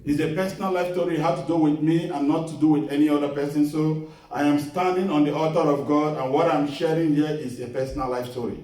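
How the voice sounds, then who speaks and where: A middle-aged man preaching a sermon in a small church, earnest and measured, repeating his key phrases as he tells a personal testimony. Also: on the high side, fairly even intonation, dark tone. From Nigeria. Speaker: guest preacher. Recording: echoey hall, voice picked up from a distance.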